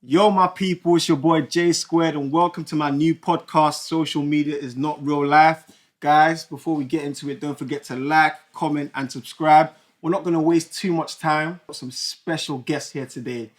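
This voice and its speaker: A man's voice: a little high, moderate pace. Chatty young man hosting. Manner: relaxed, chatty, laughs easily. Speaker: podcast host. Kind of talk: casual chat.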